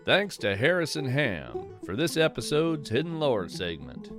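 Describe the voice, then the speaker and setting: A man reading scripted podcast credits as host, in a measured and articulate way. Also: medium pitch, huge pitch swings, loud music behind the voice.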